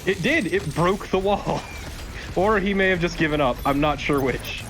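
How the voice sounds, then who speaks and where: A man's voice: sits high, normal speed, fairly bright tone, a little hiss or hum, rough recording. A man chatting casually as co-commentator on a video game, dry and opinionated. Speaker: let's play co-commentator. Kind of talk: casual gameplay chat.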